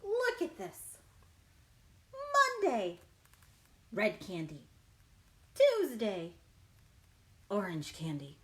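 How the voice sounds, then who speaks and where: A woman children's librarian reading a picture book aloud in an exaggerated, animated way and talking directly to young listeners. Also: medium pitch, extremely slow, pauses often. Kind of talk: children's read-aloud storytime. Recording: noisy livestream recording.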